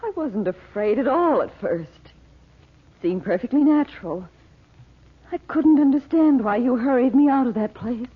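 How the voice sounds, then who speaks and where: A tinny old radio recording carries an anguished mother in a vintage serial. Her voice is husky and full of fear. She pleads emotionally, as if haunted by something evil. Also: a little high, lively intonation, measured pace. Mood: uneasy. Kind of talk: 1940s radio drama acting.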